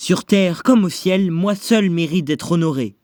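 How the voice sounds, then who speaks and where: A man's voice: high-pitched, measured pace, a little mellow, no echo, studio quality. A man playing a cocky, euphoric young sorcerer in an anime fan dub, with a theatrical, self-satisfied delivery. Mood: serene, exalted.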